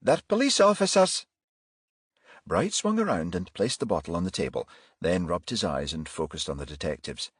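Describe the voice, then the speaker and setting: Low, husky male audiobook narrator. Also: big pitch swings, a little fast, dry recording, studio quality. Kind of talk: scripted narration of a crime novel.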